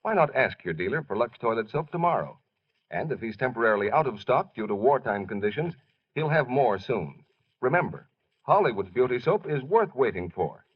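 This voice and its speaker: Animated, husky male radio announcer. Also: brisk. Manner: polished sales pitch. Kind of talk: 1940s radio ad read. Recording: muffled vintage radio recording.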